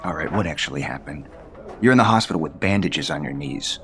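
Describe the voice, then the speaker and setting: An actor playing a cold, embittered husband and developer in an audio soap opera, dry, haughty and sarcastic. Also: male voice, extremely low pitch, expressive, fairly quick, a bit bright, a little hiss or hum. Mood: cold, bitter. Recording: prominent music bed behind.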